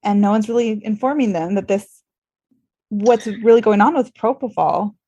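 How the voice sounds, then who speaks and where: Woman, average pitch, podcast host, conversational, earnest, questioning, thinking aloud, medical discussion. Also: moderate pace, dark tone, lo-fi sound.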